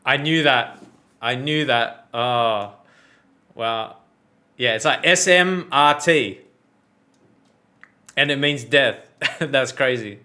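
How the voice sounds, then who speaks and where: Bright-voiced man reacting casually. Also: medium pitch, slow pace, long pauses. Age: 30s. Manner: chatty, laughs along, asks viewers questions. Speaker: reaction video host.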